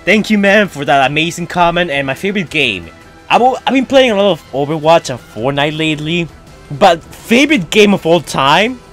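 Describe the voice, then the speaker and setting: Chatty young man with lively pitch. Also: normal speed, soft background music. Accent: foreign-sounding. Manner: rambling, chatty, frequent fillers. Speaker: YouTuber answering fan questions. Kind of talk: casual Q&A chat.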